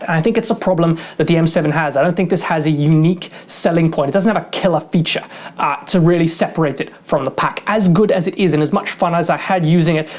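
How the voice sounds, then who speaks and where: Gear reviewer, casual product review, mid-pitched voice, chatty, rambling, self-deprecating.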